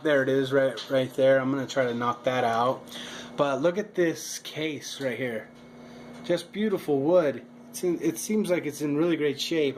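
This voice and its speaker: A man with an average-pitched voice talks through a hobby project like a home vlogger. His delivery is casual and halting, with words repeated as he feels his way along. Noticeable background noise runs behind him. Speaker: hobbyist video maker. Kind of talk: casual narrated project vlog.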